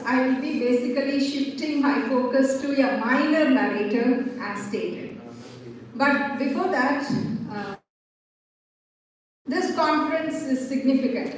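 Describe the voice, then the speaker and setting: Woman with a high voice, academic presenter, picked up from afar in a very echoey hall. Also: a little hiss or hum. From Tamil Nadu, India. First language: Tamil. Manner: formal, measured lecturing with pauses. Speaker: conference speaker. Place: large seminar hall. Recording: echoey hall heard from far off.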